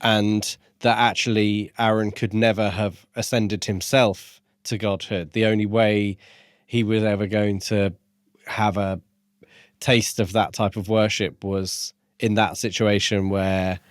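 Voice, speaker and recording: A man's voice: on the low side, little pitch movement, unhurried, no echo, studio quality. A man co-hosting a podcast and discussing a book in a casual, conversational style. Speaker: podcast co-host.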